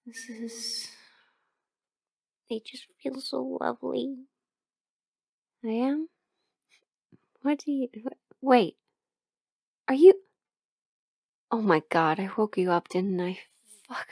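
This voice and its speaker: A woman acting a sleepy, affectionate partner in an intimate audio roleplay, muttering softly with whispers and sighs. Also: high voice, vocal fry, echoey room, distant mic, heavily acted. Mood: tender, hesitant, then flustered, embarrassed. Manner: soft, drowsy, muttering to herself with whispers and sighs. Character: sleepy affectionate partner awake in cold bed, playfully grumbling.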